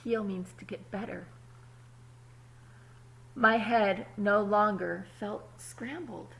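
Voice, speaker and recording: A woman, apparently a teacher, reads a picture book aloud to children expressively, pausing to explain words simply and check in with her young listeners. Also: mid-range voice, creaky, a bit bright, rough recording. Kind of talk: children's storybook read-aloud with asides.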